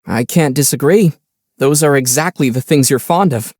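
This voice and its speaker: A man voicing a calm young traveler hero in a video game, measured and gently teasing. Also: mid-range voice, no echo, studio quality, lightly acted. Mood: gentle. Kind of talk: video game character dialogue.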